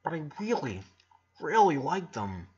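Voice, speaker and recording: A man's voice: fairly high pitch, sing-song, unhurried, darkish tone, breathy edge, lo-fi sound, exaggerated voice. A man voicing a kind, earnest eleven-year-old boy in an audiobook story, reassuring and a little hesitant. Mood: eager, delighted.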